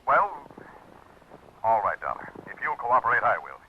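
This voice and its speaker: Flustered stammering police lieutenant, pitch jumps all over, muffled old radio w/ faint hiss. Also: male voice, very animated, normal speed. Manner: stammering, defensive. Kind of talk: 1940s radio drama acting.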